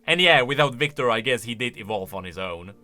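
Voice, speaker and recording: Bright-voiced, animated man commentating. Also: mid-range voice, a little fast, faint music behind. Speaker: video host. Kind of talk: casual reaction and explanation commentary.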